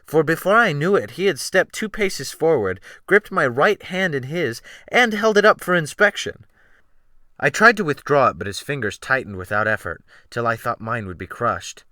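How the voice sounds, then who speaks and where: Animated man narrating a dramatic audiobook. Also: mid-range voice, no echo, clean studio sound. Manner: theatrical, expressive delivery.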